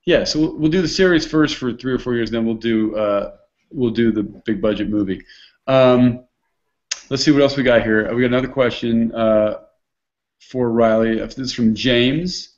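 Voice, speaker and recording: A man's voice: mid-range voice, normal speed, darkish tone. Rambling male host on a lo-fi stream. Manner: rambling, many restarts and fillers. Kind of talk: casual online hangout chat.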